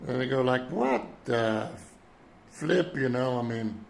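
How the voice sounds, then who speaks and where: A man in his seventies from Europe speaking in an unscripted, reflective monologue, halting as he searches for words. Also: mid-range voice, animated, creaky, a little hiss or hum. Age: 70s. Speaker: citizen vlogger addressing world leaders.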